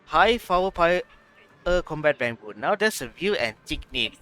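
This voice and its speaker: A man's voice: on the high side, very animated, normal speed, bright, forceful tone, clear, resonant tone. A man reading out a friendly shopkeeper's lines in a welcoming, salesman-like way.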